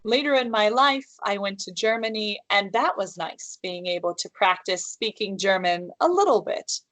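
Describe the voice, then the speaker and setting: A woman from the United States who teaches English, answering interview questions in a measured way, often restarting and repeating her phrases. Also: medium pitch, expressive, fairly bright tone, no echo. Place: room at home with calligraphy on the wall.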